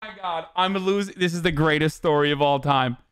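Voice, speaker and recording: A man's voice: on the high side, a little fast, a bit bright, smooth, dry recording. A man doing sarcastic, incredulous live stream commentary, repeating himself for emphasis and swearing freely. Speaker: streamer reacting to a recorded call.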